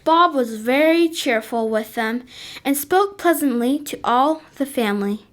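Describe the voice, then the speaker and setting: A third-grade child narrating a school radio play, reading slowly and carefully. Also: female voice, high voice, expressive.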